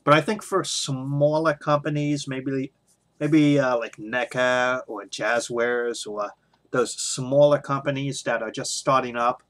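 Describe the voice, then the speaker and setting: A man's voice: mid-range voice, fairly even intonation, takes it slowly, fairly bright tone. Hesitant man giving an off-the-cuff monologue. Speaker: video blogger on toy collecting. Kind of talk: unscripted opinion monologue.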